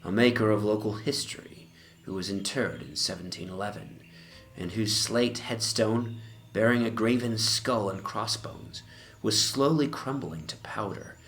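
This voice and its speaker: A man voicing an obsessed, morbid gentleman in a dramatic Gothic horror reading, with deliberate phrasing that often sinks into breathy whispers. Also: on the low side. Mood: brooding. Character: obsessed, morbid gentleman recounting his haunted past. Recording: ambient soundscape noise behind the voice. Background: atmospheric horror soundscape.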